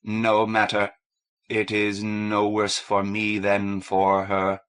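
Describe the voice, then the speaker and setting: Man doing a slow, formal alien scholar. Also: lowish voice, monotone, fairly bright tone, smooth. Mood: melancholy. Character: big whiskered alien, courteous, melancholy male scholar.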